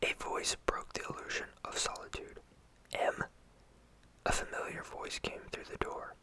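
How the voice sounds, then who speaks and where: A storyteller whispering a first-person horror story in a hushed, intimate and steady way. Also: moderate pace.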